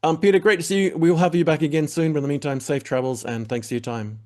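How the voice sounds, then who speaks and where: A man's voice: fairly high pitch, steady tone, quick pace, a little mellow. A man hosting a web TV interview, wrapping up with upbeat, rambling plugs full of fillers. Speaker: web TV show host. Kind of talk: interview wrap-up with audience plugs.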